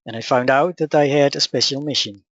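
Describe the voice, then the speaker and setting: A man with a Dutch accent, whose first language is Dutch, calmly telling his personal story and teaching in a measured, matter-of-fact way. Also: medium pitch, dry recording, muffled recording. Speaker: spiritual teacher. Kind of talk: personal account and teaching talk.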